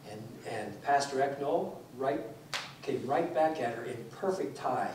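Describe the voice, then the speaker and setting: A man's voice: mid-range voice, expressive, measured pace, noisy background. Man preaching in an echoey church. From Taiwan. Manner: conversational, with frequent fillers and restarts, gesturing while speaking. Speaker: guest speaker, pastor. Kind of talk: informal sermon storytelling. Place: church sanctuary, indoors. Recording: echoey church hall, distant mic.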